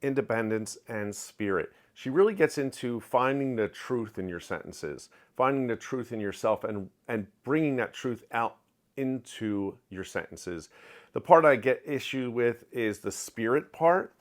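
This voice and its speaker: A middle-aged man giving a candid, opinionated book review in a home study, talking close to the camera with lively hand gestures. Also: mid-range voice, measured pace. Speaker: book vlogger. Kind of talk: casual reading wrap-up review. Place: home study with shelves of collectibles. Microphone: webcam framing, close to camera.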